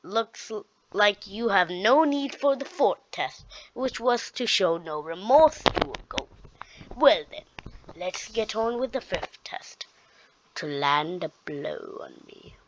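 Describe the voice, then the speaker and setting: A woman's voice: medium pitch, expressive, slow pace, background noise. A woman voicing a cheerful, confident male spirit master, read aloud with hardly any change of voice. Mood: amused. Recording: dull, dry lo-fi recording.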